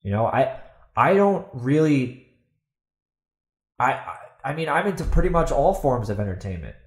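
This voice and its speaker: A man's voice: mid-range voice, big pitch swings, normal speed. A man giving an opinionated solo podcast monologue as host, speaking bluntly and emphatically, with some swearing. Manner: emphatic, blunt, swears, asks and answers own questions. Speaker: podcast host and fantasy author. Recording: roomy, echoey voice recording.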